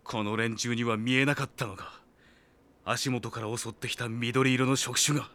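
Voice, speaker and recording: A man playing a cool, gruff high-school delinquent in an audio drama, curt and laconic. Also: fairly even intonation, moderate pace, fairly bright tone, natural voice. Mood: wary, tense.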